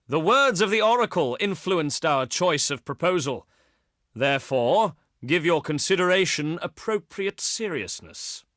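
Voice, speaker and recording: Video game envoy of ancient Greece: haughty, theatrical, higher-set bright voice with sweeping intonation, pompous and slyly menacing. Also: male voice, no room sound, clean studio sound, heavily acted. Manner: pompous, oratorical, deliberate phrasing. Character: haughty ancient Greek diplomat, pious and slyly threatening. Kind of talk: theatrical scripted game dialogue.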